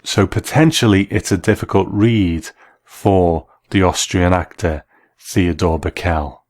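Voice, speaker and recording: A man hosting a show, delivering measured, scripted commentary in the manner of an appreciative reviewer. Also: low voice, steady tone. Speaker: host. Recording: faint music under the voice. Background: soft background music.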